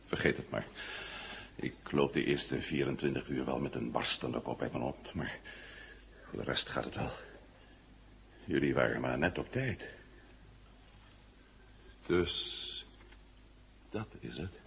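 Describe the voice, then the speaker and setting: An actor playing an intense, sharp-minded investigator who thinks aloud deliberately in a vintage science fiction radio drama. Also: male voice, extremely low pitch, vocal fry, heavily acted. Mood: weary. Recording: noisy vintage 1970 radio recording.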